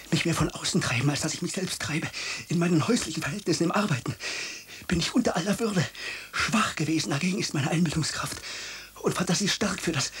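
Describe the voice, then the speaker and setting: An actor in a radio drama playing a guilt-ridden young male student who quietly confesses his weaknesses. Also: fairly high pitch, breathy edge.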